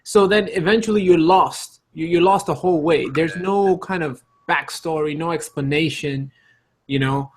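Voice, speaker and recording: A man's voice: a little high, normal speed, a little hiss or hum. A man chatting casually as a panelist on a video call, repeating phrases for emphasis. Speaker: podcast panelist. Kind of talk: casual discussion.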